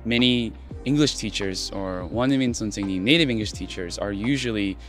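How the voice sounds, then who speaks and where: A man's voice: on the low side, racing, faint music behind. A young man from San Francisco, an English teacher answering a street interview outdoors in an earnest, reflective way. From San Francisco, California. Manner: earnest, reflective, drops Korean phrases. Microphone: handheld mic held by interviewer.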